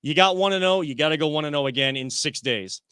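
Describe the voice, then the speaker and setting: A man leads a casual sports discussion in a bright, somewhat high voice. He works through his points aloud and restarts his sentences often. The recording is close and dry. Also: quick pace, clean tone, no room sound. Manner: thinks aloud with frequent restarts. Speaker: sports podcast host. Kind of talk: casual sports talk show.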